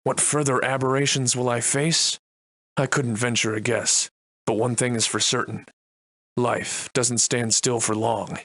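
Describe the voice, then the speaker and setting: An actor playing a wry, defiant Victorian-era adventurer and monster slayer in a video game, soliloquizing in florid, old-fashioned diction. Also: male voice, medium pitch, steady tone, measured pace, a bit bright, dry recording. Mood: grave, reflective. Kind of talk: theatrical video game voice lines in archaic English.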